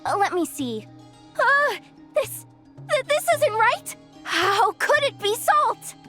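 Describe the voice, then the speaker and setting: A woman's voice: extremely high pitch, sing-song, takes it slowly, sharp tone, soft background music, full character voice. A woman voicing an eager, easily flustered young girl courier in a video game. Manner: hesitant stammering, eager to please.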